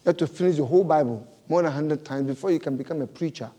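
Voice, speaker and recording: Animated pastor with a non-native English accent. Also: male voice, on the high side, racing, husky. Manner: animated, laughing storyteller, big swings in loudness. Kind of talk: sermon.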